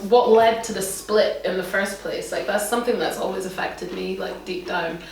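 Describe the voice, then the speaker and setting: A woman's voice: medium pitch, moderate pace, far from the mic, a little hiss or hum. Earnest young woman, echoey room. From South Sudan. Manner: earnest, emphatic. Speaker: podcast panelist. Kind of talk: casual group chat.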